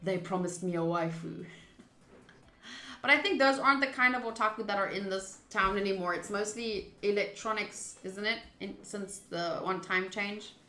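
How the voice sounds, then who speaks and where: Bright-voiced woman reading game text aloud. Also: medium pitch, moderate pace. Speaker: streamer playing a visual novel. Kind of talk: dramatic read-aloud of game text with casual asides. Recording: roomy, echoing sound.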